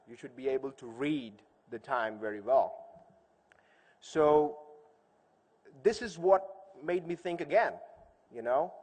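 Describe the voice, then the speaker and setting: A man giving an informal public speech as a conference speaker, conversational, telling stories and posing rhetorical questions. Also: fairly high pitch. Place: large hall, speaking to an audience. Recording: echoey hall with long reverb.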